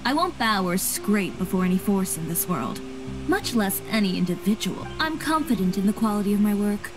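A confident young woman designer from a video game, voiced by an actress. She speaks in a middle register, composed and matter-of-fact with a proud edge, over soft music. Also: mid-range voice, big pitch swings, fairly quick, lightly acted, poor audio. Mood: assured.

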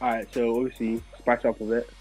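A man's voice: mid-range voice, little pitch movement, quick pace, darkish tone, slightly rough, soft background music. A young man in casual banter, coaching his friend through pranks. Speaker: host's friend suggesting pranks.

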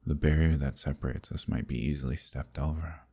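A proud, possessive demon king who speaks slowly and silkily to his captive. His voice sits extremely low, with a gravelly fry and a husky edge. The tone stays dark and mellow throughout. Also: fairly even intonation, exaggerated voice. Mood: seductive. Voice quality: deep gravelly voice. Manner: slow, silky, menacing persuasion. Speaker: voice actor. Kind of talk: ASMR roleplay.

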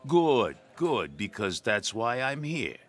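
An actor playing a menacing, smooth-talking American mob boss in a radio drama. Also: male voice, mid-range voice, very animated, takes it slowly. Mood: impatient, pushy.

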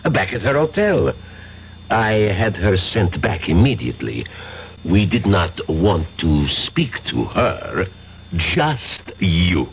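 A suave villain of 1970s radio drama, courteous, proud and threatening. His deep voice moves slowly and deliberately, swinging widely in pitch. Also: sing-song, vocal fry, heavily acted. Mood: proud, smooth. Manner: formal, deliberate, silkily polite with pauses. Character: suave, menacing Greek drug lord, courteous and proud. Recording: dull vintage radio recording, faint hiss.

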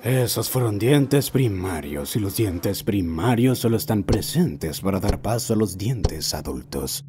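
A man voicing an obsessive old man who recounts his lifelong fixation in a solemn, deliberate horror narration. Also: lowish voice, lively intonation, mellow, a little hiss or hum. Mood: brooding, solemn. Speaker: amateur narrator of a horror short. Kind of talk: scripted first-person horror narration.

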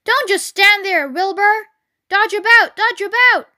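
A woman's voice: very high voice, animated, moderate pace, sharp tone, pitched above the performer's own voice, full character voice. A woman voicing a bossy, frantic storybook goose who urgently repeats her phrases. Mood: frantic commanding. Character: bossy, frantic goose honking orders and warnings. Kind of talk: animal storybook voice.

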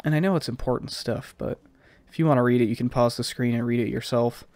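A man's voice: medium pitch, fast, darkish tone, no room sound. A man with a slightly stuffed-up, scratchy voice giving casual, rambling gameplay commentary as a let's play host.

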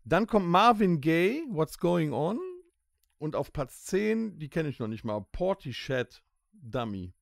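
A man's voice: fairly high pitch, sing-song, takes it slowly, creaky, no room sound, studio quality. A man chatting casually as a podcast host, reading out rankings with teasing suspense.

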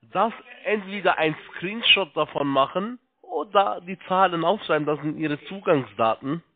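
A man working as a call-centre agent, giving halting step-by-step instructions, repeating himself and spelling things out. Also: high-pitched, expressive. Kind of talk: step-by-step phone sales instructions. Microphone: telephone. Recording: tinny narrow phone line.

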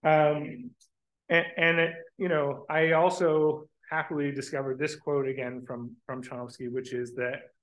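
A middle-aged man giving a conference keynote from a podium in a lecture room, thoughtful and halting, restarting his phrases. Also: fairly high pitch, flat delivery, a bit bright, rough recording. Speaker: keynote speaker. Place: lecture room with large windows. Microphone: podium microphone.

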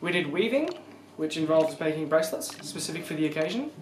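A man's voice: on the high side, big pitch swings, fast talker, slight background noise. A teenage Australian male youth participant recounting a group trip in an interview-style narration. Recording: echoey room with music behind.

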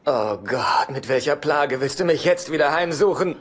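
A man's voice: a little high, normal speed, noisy background, close to an everyday voice. An actor playing a self-pitying, heartbroken middle-aged father in a dubbed sitcom, whiny and dryly sarcastic. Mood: bitter sarcasm. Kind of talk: German-dubbed sitcom acting.

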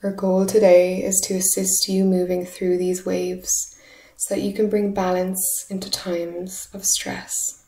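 A woman leading a guided meditation in a soft, soothing, breathy voice, with short unhurried phrases. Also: fairly low pitch. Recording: roomy echo, steady humming background noise. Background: humming sound bath tones.

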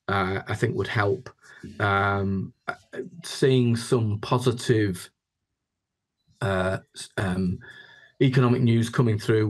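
A man, giving reflective interview answers on a video call and often repeating words as he goes. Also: fairly low pitch. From Yorkshire, England. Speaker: interview guest, housing chief executive.

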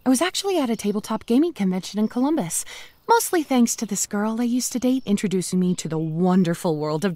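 Woman playing a swooping, high-voiced chatty zombie girl. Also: a little mellow, no room sound. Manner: wry, playful, conversational asides to the listener. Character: chatty, cheerful, self-aware young female zombie, last of her kind. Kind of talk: comedic first-person audio drama monologue.

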